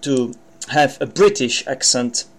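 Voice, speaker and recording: A man's voice: medium pitch, expressive, takes it slowly. A man from the Czech Republic with a Czech accent, an English teacher explaining idioms on his podcast in a chatty way, with many fillers and restarts.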